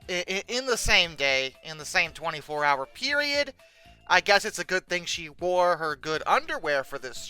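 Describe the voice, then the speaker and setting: Animated, bright-voiced sarcastic video host. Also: medium pitch, clear, resonant tone. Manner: sarcastic, exasperated, with dramatic emphasis. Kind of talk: casual humorous commentary on pictures.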